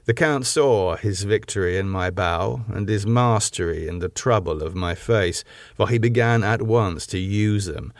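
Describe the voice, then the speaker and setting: A man reads a gothic novel as a scripted audiobook. His voice sits fairly low, and his delivery is measured and formal. The recording is close and very dry, without room sound. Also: dry recording. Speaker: audiobook narrator.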